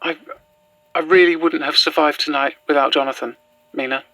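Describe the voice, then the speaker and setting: A worn-out young woman in a found-footage horror drama. Her voice is bright and very dry, with halting, stammered phrasing. She is shaken but stubborn and bitter underneath. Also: sits low, fairly even intonation, no room sound. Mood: weary, shaken. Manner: hesitant, stammering, sighing.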